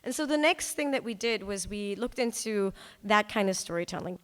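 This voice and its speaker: A woman's voice: mid-range voice, lively intonation, normal speed. Woman presenting in a reverberant hall. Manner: conversational, informal asides and tag questions. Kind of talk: conference talk presenting a storytelling project. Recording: echoey hall with long reverb.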